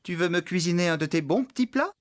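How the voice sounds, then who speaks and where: A man's voice: high-pitched, lively intonation, fast talker. A man playing an easygoing, friendly farmhand in a children's cartoon, with a good-natured manner.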